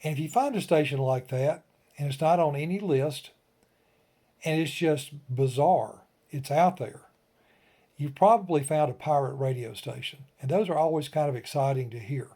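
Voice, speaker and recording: A man's voice: on the high side, normal speed, dark tone. A man hosting an informal hobby tutorial, explaining step by step in a conversational way. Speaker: ham radio tutorial host.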